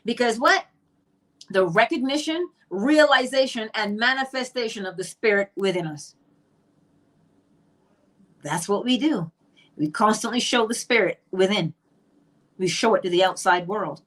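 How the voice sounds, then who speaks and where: A middle-aged Barbadian woman speaks in an emphatic monologue, asking herself questions and answering them. Her voice sits at an ordinary pitch for a woman, and she teaches with a firm, preaching lilt. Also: lively intonation, unhurried, long pauses, fairly bright tone. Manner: emphatic, rhetorical questions, acted quotes. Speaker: spiritual teacher. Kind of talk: monologue on manifestation.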